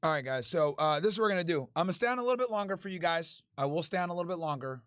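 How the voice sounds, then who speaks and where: A man's voice: a little high, animated, racing, fairly bright tone, dry recording. Tired, laid-back man, dry close mic. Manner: laid-back, crude slang, tired, joking. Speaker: livestream host. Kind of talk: casual livestream chat reading super chats.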